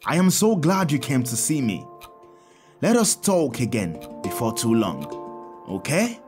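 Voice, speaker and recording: A lively African priest, music behind. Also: male voice, medium pitch, a little slow. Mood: gentle blessing. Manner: earnest, didactic, emphatic. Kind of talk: audio drama for children.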